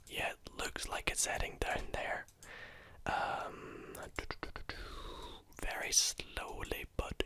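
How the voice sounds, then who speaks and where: A host whispering close to the mic while playing a map-guessing game, softly thinking aloud. The delivery is unhurried and drawn out. The whisper has a crisp, bright edge. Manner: soft, musing, thinks aloud while exploring. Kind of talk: whispered ASMR gameplay commentary.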